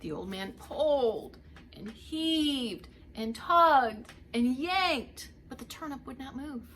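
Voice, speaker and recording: A woman's voice: sits high, lively intonation, takes it slowly, fairly bright tone, a little hiss or hum. A woman reading a story aloud to young children like a preschool teacher, theatrically drawing out the action words and talking to her listeners.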